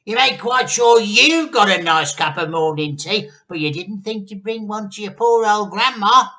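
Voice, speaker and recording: A man voicing a horrid, bossy giant of an old grandmother in a children's storybook, loud, shouted and scolding. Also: high voice, moderate pace, pitched above the performer's own voice. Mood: accusing, bullying. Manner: scolding, imperious.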